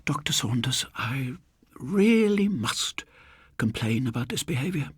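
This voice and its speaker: A man's voice: medium pitch, big pitch swings, measured pace, breathy edge, dry recording, clean studio sound, voice pushed up, exaggerated voice. A man voicing a prim, genteel elderly spinster landlady who scolds fussily in an audiobook story. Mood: indignant scolding. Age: older. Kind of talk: audiobook character voice.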